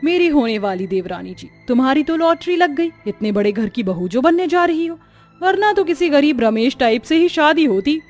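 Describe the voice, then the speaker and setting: A woman's voice: sits high, expressive, normal speed, a little mellow, faint music behind. A woman voicing a haughty, rich young sister-in-law in a cartoon story, sneering and condescending in manner. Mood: mocking. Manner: mocking, condescending. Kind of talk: Hindi cartoon story acting.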